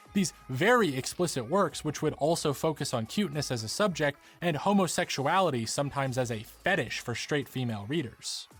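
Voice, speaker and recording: A man's voice: mid-range voice, slightly rough. Male narrator for a scripted video essay: explanatory delivery, wry asides.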